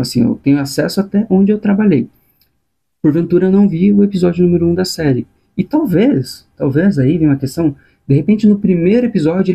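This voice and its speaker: A man's voice: on the high side, dark tone. A man with a Brazilian Portuguese accent telling stories casually in a personal vlog, informal and colloquial, thinking aloud as he goes. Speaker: animation supervisor.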